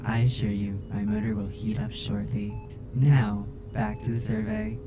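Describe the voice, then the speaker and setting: A man's voice: on the low side, little pitch movement, lightly acted. Man acting as caring robot nurse, formal, precise diction, ASMR roleplay, music behind the voice. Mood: calm, attentive. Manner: formal, precise, measured wording. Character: caring, formal male robot crewmate and caretaker. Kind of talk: ASMR roleplay audio drama.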